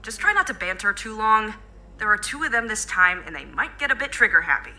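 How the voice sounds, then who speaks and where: A woman playing a wry, nagging superhero sidekick in an audio drama, deadpan and teasing. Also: medium pitch, a little fast, full character voice. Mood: wry, cautioning.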